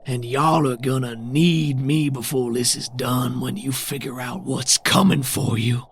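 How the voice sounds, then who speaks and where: A man voicing a swaggering, taunting would-be god in a tabletop game, with a husky, menacing Southern US drawl. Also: mid-range voice, fairly even intonation, a little slow, slight background noise, lightly acted. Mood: taunting, boastful.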